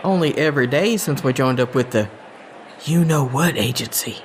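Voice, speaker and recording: A man playing a goofy, excitable, good-natured agent in a comedic audio drama, talking in a folksy, enthusiastic way. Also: mid-range voice, normal speed, slight background noise, close to an everyday voice. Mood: chatty.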